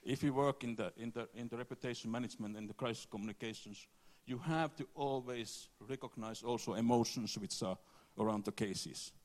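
A man giving a conference presentation, halting and repeating words as he goes. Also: medium pitch, a little mellow. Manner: halting, repeats words, non-native phrasing. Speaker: conference speaker.

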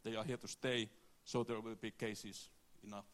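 A man giving a conference presentation, halting and repeating words as he goes. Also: lowish voice, little pitch movement, fairly bright tone. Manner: halting, repeats words, non-native phrasing. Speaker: conference speaker.

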